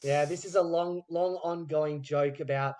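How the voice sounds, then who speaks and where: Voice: medium pitch, fairly even intonation, normal speed, darkish tone, slight background noise. An informal podcast host with a mid-range voice. The delivery is casual interview chat, jokey and given to rambling asides.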